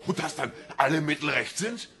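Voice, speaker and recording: An actor playing an impatient, gruff police inspector in a crime radio play, exasperated as he interrogates. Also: a little high, animated, a little fast, sharp tone, slight background noise. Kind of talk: radio crime drama acting.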